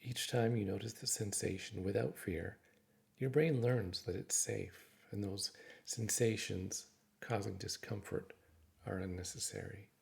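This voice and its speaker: A man narrating a guided meditation in a gentle, reassuring, instructive manner. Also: lowish voice, steady tone, measured pace, gravelly.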